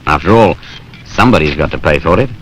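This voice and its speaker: A man's voice: very deep voice, expressive, fast, fairly bright tone. A British man giving wry, colloquial, conversational narration for a film. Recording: noisy 1970s industrial film soundtrack.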